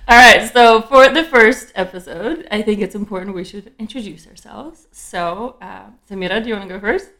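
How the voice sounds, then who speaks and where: A woman's voice: mid-range voice, normal speed, hardly any pauses, fairly bright tone. A young woman from Ukraine with a Ukrainian accent, co-hosting a casual podcast and laughing often. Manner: laughs often, chatty with fillers. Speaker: podcast co-host. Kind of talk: casual chat.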